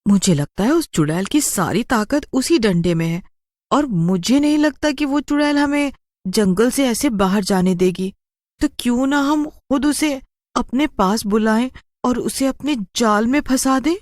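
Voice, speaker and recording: A woman's voice: a little high, lively intonation, a little slow, a little mellow, dry recording, studio quality, pitched above the performer's own voice. A woman voicing a scared but scheming young girl with an earnest, insistent, childlike delivery.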